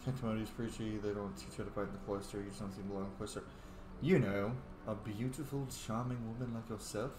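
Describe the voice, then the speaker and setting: A man casually commenting on a role-playing game and reading dialogue choices aloud, with animated, jokey asides. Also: on the low side, fast. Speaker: gaming host, role-player. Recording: echoey room, faint background noise.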